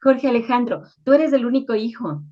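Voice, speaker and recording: A woman's voice: on the high side, lively intonation, fairly quick. A woman with an Ecuadorian accent hosting a radio interview in courteous, formal phrasing. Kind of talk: interview questions and formal presenting. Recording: slightly dull, lo-fi sound.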